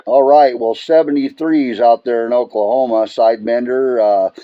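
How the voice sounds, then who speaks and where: A man's voice: mid-range voice, steady tone, normal speed, lo-fi sound. An American man chatting casually as a radio operator in a rambling, folksy way. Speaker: amateur radio operator, channel owner recording contacts.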